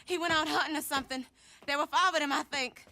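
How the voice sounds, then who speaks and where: High, bright, feisty frontier girl. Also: steady tone, quick pace, gravelly, a little hiss or hum, full character voice. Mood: shaken. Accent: American. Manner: feisty, plain-spoken. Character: defiant, spirited young frontier woman. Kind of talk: dramatic video game acting.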